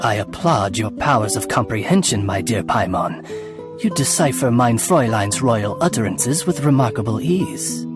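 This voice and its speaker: A man's voice: medium pitch, lively intonation, normal speed, over loud music, close to an everyday voice. A man voicing a loyal raven familiar in a video game, speaking as a dignified, deferential servant with elaborate formality. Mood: courteous. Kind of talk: video game character acting.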